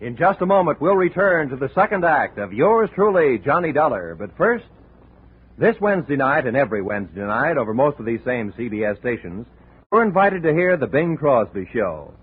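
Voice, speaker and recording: Husky-voiced old-time radio announcer. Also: male voice, mid-range voice, big pitch swings, moderate pace. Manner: smooth promotional delivery. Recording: tinny old radio broadcast.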